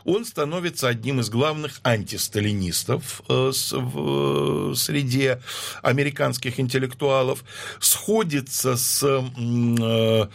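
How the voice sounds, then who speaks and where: A man speaking as a guest historian in an interview, explaining at a measured pace with many hesitations. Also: mid-range voice, vocal fry. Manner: measured, lecturing with frequent hesitations.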